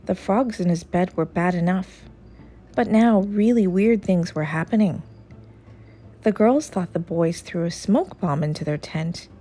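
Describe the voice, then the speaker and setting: A woman reading a children's story aloud as an expressive storyteller. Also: lowish voice, measured pace. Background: soft music.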